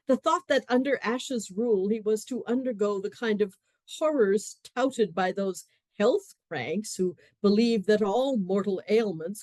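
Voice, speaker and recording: A woman narrating a radio play in a wry, expressive storytelling manner, dropping to hushed asides. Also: mid-range voice, no room sound.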